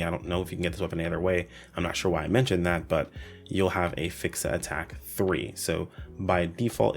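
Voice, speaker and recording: Male gaming host, low register with noticeable fry, casual explainer delivery, punchy asides. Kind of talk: casual explainer commentary on game weapon stats. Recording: voice-over with music behind.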